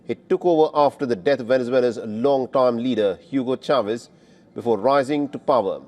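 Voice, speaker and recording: A man anchoring live breaking news, reading the background and then interviewing a guest in a measured, clear newsreader delivery. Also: mid-range voice, darkish tone.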